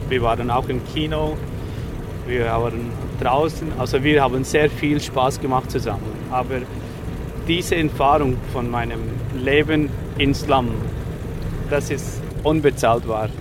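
A man in his forties from India, speaking halting with many fillers in a casual interview, outdoors on a city street. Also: mid-range voice, noisy background. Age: 40s. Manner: halting non-native German with frequent fillers. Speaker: interviewee, rickshaw driver.

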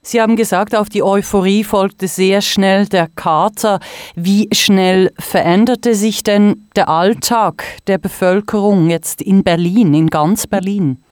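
A woman's voice: mid-range voice, steady tone, a little slow, darkish tone, no room sound, studio quality. A woman interviewer putting prepared questions in formal, carefully phrased sentences.

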